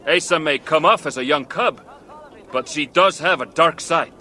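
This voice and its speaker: An actor voicing an excitable male dwarf metalworker in a video game, theatrical and exclamatory. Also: fairly high pitch, normal speed, a little hiss or hum. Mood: wary, helpful. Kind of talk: video game cutscene acting.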